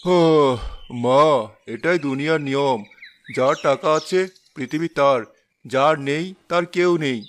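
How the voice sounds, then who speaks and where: A man voicing a calm, kind, well-off father in a cartoon, speaking gently. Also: a little high, unhurried, a little hiss or hum, natural voice. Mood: gentle. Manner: gentle, soft-spoken. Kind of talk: cartoon voice acting.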